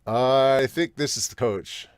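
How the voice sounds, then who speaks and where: A man co-hosting a casual manga reaction podcast, blunt and excitable, given to swearing and reading comments aloud. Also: mid-range voice, slow pace, smooth, dry recording, studio quality. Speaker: podcast co-host reviewing manga.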